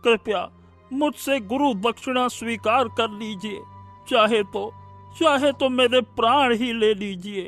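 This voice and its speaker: A humble old male disciple in a cartoon tale played by a man. His voice is strikingly high and rather slow, earnest and reverent, with music underneath. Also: fairly bright tone, heavily acted. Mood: pleading, humble. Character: devoted, humble elderly male disciple and son-in-law.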